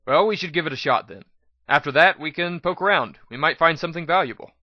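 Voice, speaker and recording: A man voicing a brash, trigger-happy female pony gunfighter in an excitable dramatic reading. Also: a little high, fast talker, no room sound, muffled recording, lightly acted. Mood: upbeat, cocky. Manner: excitable, loud outbursts. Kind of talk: first-person dramatic reading.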